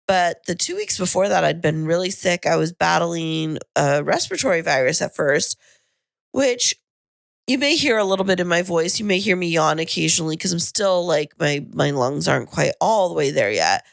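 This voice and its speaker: A woman co-hosting a podcast in casual chat, her voice husky and a little raspy from a lingering cold, talking in a chatty, rambling way. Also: on the low side, animated, normal speed, dry recording, studio quality. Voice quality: slightly raspy. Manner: chatty, self-deprecating, rambling. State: husky tail-end-of-cold voice. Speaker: podcast co-host. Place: home podcasting studio.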